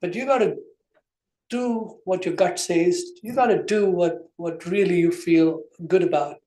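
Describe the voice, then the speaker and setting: A man with a somewhat high voice delivers an earnest, plain-spoken farewell at a township meeting. The room is echoey around him. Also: a little slow, dark tone. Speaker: departing township committee member. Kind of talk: farewell speech at a public meeting.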